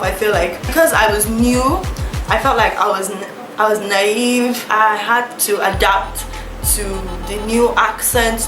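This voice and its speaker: Chatty young Nigerian woman vlogging. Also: a little high. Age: young adult. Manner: chatty, full of fillers and self-corrections. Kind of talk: casual storytelling to camera. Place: small indoor room, seated before a plank backdrop. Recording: echoey room with music behind.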